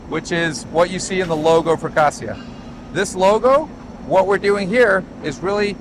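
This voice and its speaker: A man from Chile with a voice a little on the high side talks like a developer showing off a property. He is emphatic and casual, doubling back on his words. Clear city and vehicle noise surrounds him. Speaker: property developer giving a tour. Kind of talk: casual walk-through sales pitch. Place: walking outdoors on a city street and at a building site. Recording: outdoor street noise with traffic.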